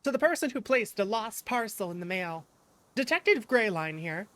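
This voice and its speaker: A woman's voice: medium pitch, big pitch swings, moderate pace, fairly bright tone, no echo. A woman reading out a thank-you letter as an earnest detective, in a lightly formal tone.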